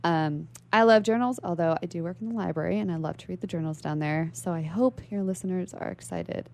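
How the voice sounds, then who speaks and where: Woman, radio interview guest, lowish pitch, strong vocal fry, thoughtful explanations, conversational. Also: brisk, a little mellow. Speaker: library worker. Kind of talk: conversational literary discussion on a radio show.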